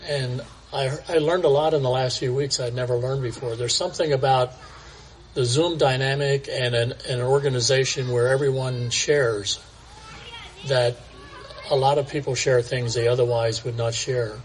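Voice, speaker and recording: A man's voice: mid-range voice, steady tone, normal speed, slight background noise. A man sharing a personal reflection at an outdoor church gathering in a plain, conversational way. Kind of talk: casual personal testimony.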